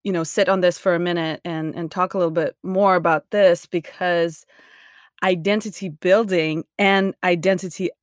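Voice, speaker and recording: A woman's voice: on the low side, fairly even intonation, moderate pace, dry recording, studio quality. A woman hosting an interview, speaking thoughtfully and hesitantly as she searches for words. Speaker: interviewer, host. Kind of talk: casual conversational interview.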